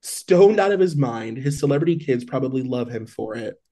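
A man's voice: medium pitch, animated, moderate pace, warm tone. A man co-hosting a podcast, chatting loosely about celebrity gossip and leaning on "like" a lot. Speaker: podcast co-host. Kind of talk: casual celebrity gossip chat.

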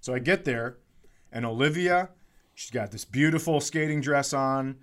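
A man's voice: mid-range voice, normal speed, a bit bright. A middle-aged American man telling casual comedic stories as a podcast host, with a profane, exasperated ranting manner.

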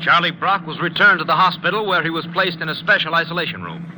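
High-voiced male vintage radio announcer. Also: animated, fast talker. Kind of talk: formal scripted 1950s radio narration. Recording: noisy vintage radio broadcast.

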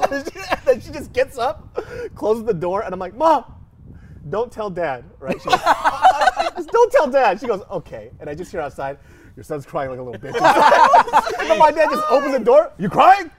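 Animated man telling stories, lively pitch. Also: a little slow, a crowd in the background. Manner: animated, laughs through his stories. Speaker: podcast guest. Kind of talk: casual storytelling.

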